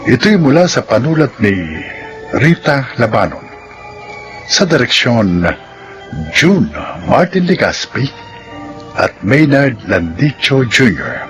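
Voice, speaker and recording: Male announcer for radio drama intros and credits; Filipino accent, measured, expressive intonation over music. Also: mid-range voice. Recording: music bed under voice.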